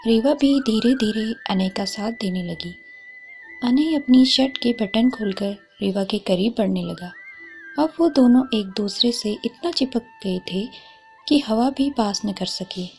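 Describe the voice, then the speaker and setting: A woman narrates a scripted love story as a storyteller. Her voice sits fairly high and she takes her time over each phrase. Faint music plays underneath. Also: takes it slowly, a little mellow.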